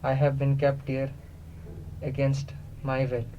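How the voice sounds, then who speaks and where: A man playing a captive, all-knowing non-human being in a radio play, curious and literal, speaking in halting, deliberate pieces. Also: medium pitch, monotone, lo-fi sound, slight background noise, close to an everyday voice. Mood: solemn, matter-of-fact. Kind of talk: semi-improvised radio play dialogue.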